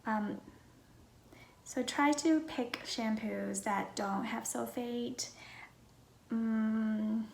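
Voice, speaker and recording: A young adult woman from Vietnam with a Vietnamese accent, talking casually and softly with a breathy voice as she answers personal questions for her viewers. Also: fairly high pitch, steady tone, very slow, pauses often. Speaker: vlogger. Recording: roomy echo, faint background noise.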